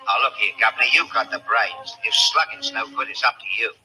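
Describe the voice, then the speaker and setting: An actor playing a rough, gullible criminal henchman with a Cockney accent in an old-time radio drama. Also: mid-range voice, brisk, low-quality recording. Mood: wary, irritated. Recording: old radio recording with loud music.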